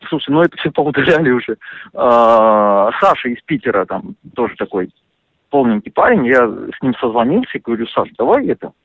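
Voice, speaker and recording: A man talking over the phone, animated and indignant, full of fillers and restarts. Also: mid-range voice, a little fast, vocal fry, breathy edge, no room sound. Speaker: interviewee on a phone call. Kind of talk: casual phone conversation. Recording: dry tinny phone line.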